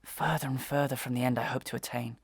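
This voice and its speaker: A man performs an honourable, disgraced gentleman in a Victorian audio melodrama. His medium-pitched voice is formal and earnest. He declaims with impassioned, old-fashioned stage delivery. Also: steady tone, fast talker, gravelly, breathy edge. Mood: weary, resolute.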